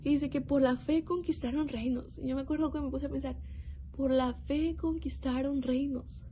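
Young woman, earnest faith testimony, higher mellow voice, faint hiss behind. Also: steady tone. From Mexico. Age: young adult. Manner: earnest, reflective, with pauses and restarts. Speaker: youth program speaker. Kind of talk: personal testimony.

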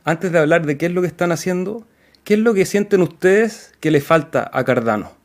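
A man's voice: sits high, lively intonation, a little fast. A man co-hosting an interview, upbeat and direct, over a video call. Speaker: co-host.

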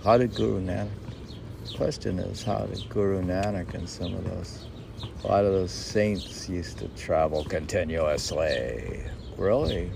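A man reading his own verse and rambling in spontaneous commentary, musing aloud and answering his own questions. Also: low-pitched. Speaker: poet and podcast host. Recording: clearly noisy background.

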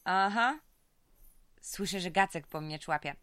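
A tough, weary female convict for a radio play. Her voice sits a little low and is recorded close and dry. She talks in blunt, streetwise slang. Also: unhurried, a bit bright, clear, resonant tone, dry recording. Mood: brash, sardonic. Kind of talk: audio drama acting.

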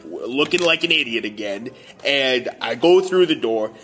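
A man playing an indignant Italian-American Bronx guy, telling his tale haltingly and repetitively in a radio comedy sketch. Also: medium pitch, lively intonation, a bit bright, faint music behind, close to an everyday voice. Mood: aggrieved.

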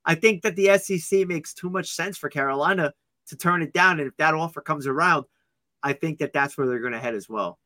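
Male sports podcast co-host, bright forceful tone, slightly high, dry close sound, casual and joking. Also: no room sound. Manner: upbeat, joking, quick asides.

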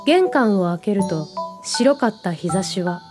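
A woman playing a gentle, dreamy young narrator in a scripted radio drama, with a soft, reflective storytelling manner. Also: medium pitch, sing-song, a little slow, over loud music. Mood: reflective, tender.

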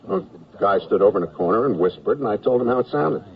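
A man's voice: fairly low pitch, animated, fast talker, vocal fry. An actor playing a reasonable, exasperated male war correspondent in a radio comedy drama, wry and conversational. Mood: cooperative, defensive. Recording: dull radio recording, slightly distant.

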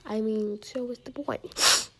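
A teenage girl vlogger with a high-pitched voice chats casually, reads questions aloud and answers them herself, and often drops into soft near-whispered asides. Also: fairly even intonation, slow, very noisy.

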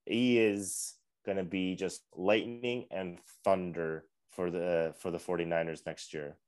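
Easygoing low-voiced man talking football. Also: steady tone, measured pace, darkish tone. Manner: easygoing, hedging, laughs often. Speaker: podcast co-host. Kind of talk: casual sports chat.

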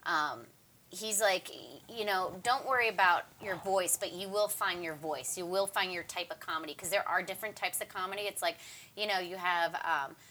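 Bright-voiced woman comedian explaining things casually. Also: on the low side, normal speed, a little hiss or hum. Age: 30s or older. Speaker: stand-up comedian.

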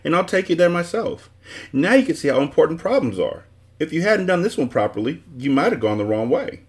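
Guy doing a fussy talking shape from a storybook, pitched way up, swoopy, bossy and precise. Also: sing-song, fairly quick. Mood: pleased. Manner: precise, scolding, emphatic. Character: pompous, excitable male dodecahedron with many changing faces.

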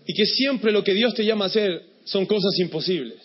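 A man from Chile with a blended Chilean-Ecuadorian-Uruguayan accent preaches to a congregation. His voice sits a bit high and he sounds relaxed but encouraging. The recording is dull and lo-fi. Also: brisk, slightly rough. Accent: mixed Chilean, Ecuadorian and Uruguayan. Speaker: guest pastor. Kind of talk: sermon. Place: church in Quito.

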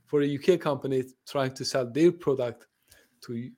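A man's voice: medium pitch, moderate pace, dark tone. A man born in Turkey, a native Turkish speaker, talking as a podcast guest in a friendly, hesitant way, with many fillers and restarts. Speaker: podcast guest, translation company owner. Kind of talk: conversational interview. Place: lives in the UK.

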